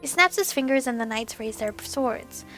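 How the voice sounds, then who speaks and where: A young woman hosting a let's play, reading a visual novel aloud with a hoarse, fading voice and casual asides. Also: fairly high pitch, lively intonation, normal speed, pushed and bright, faint music behind. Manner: casual asides between earnest narration. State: hoarse, losing her voice.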